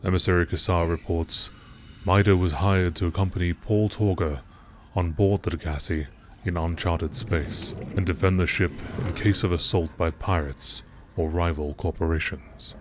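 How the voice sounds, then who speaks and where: A man reading scripted text as a narrator in nature documentary style, with a measured, matter-of-fact, formal delivery. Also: low voice, steady tone, slight background noise. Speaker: narrator reading out game lore.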